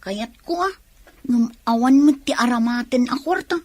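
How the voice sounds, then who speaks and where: A woman's voice: on the high side, lively intonation, moderate pace, a little mellow, no room sound. A woman with an Ilocano accent playing a doubtful young factory worker who questions things in a radio drama. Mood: doubtful, curious.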